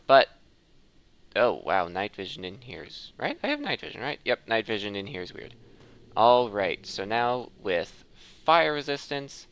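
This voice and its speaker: A man's voice: fairly low pitch, vocal fry, fairly bright tone, a little hiss or hum. A man giving casual gameplay commentary, rambling and thinking aloud as he plays. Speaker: gaming video host.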